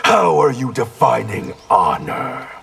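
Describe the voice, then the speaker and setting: Cold proud ninja boss, gravelly, slow, faint music, fighting game. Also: male voice, mid-range voice, sing-song, a bit bright, exaggerated voice. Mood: hostile. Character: stern, hostile male ninja clan master, proud and cold. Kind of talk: video game voice acting.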